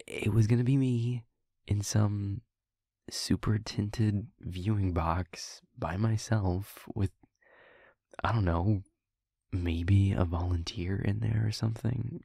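A man playing a sincere, slightly nervous vampire in an audio roleplay, halting and wry with his friend. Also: on the low side, creaky, mellow, breathy edge, no echo, studio quality, exaggerated voice. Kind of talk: intimate audio roleplay acting.

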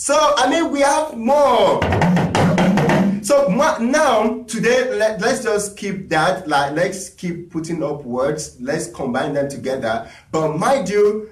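Echoey, high-voiced man teaching. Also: animated, takes it slowly, distant mic, soft background music. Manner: chatty, encouraging, reads viewer requests aloud. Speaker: talking drum teacher. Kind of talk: live-streamed music lesson. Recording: echoey room, voice from off the mic.

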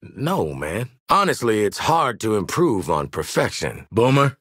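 An actor playing a cocky, wisecracking American sniper mercenary in a video game, streetwise and sardonic, with punchy delivery. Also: male voice, on the low side, big pitch swings, measured pace, a bit bright, slightly rough, dry recording, clean studio sound, full character voice. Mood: sardonic, dry. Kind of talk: video game combat voice lines.

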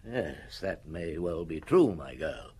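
An actor playing a shrewd, unhurried, wry middle-aged chief inspector with a husky voice in a radio drama. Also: male voice, a bass voice, sing-song, dark tone, full character voice. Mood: wry, probing.

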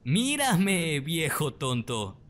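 A man with a Latin American accent voicing an excitable, friendly, moody skeleton artist in a theatrical, emotional animated fan dub. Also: a little high, extremely slow, exaggerated voice. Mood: encouraging. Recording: echoey long reverb, music behind.

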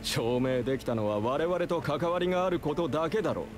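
A man's voice: on the high side, expressive, a little fast, lightly acted. An actor voicing a smug, calculating middle-aged businessman villain in an anime-style game, pompous and condescending. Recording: game music behind.

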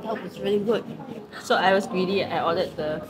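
A young woman with a Singaporean accent chatting casually for a food vlog, dropping in Singlish particles. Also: medium pitch. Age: young adult. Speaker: vlogger. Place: busy cafe and restaurant indoors. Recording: busy crowd chatter behind voice.

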